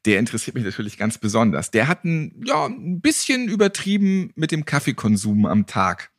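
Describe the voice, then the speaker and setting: A man's voice: mid-range voice, sing-song, a little fast, no echo, studio quality. A man hosting a podcast, chatting casually with flippant, joking asides. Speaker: podcast host. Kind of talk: casual interview chat.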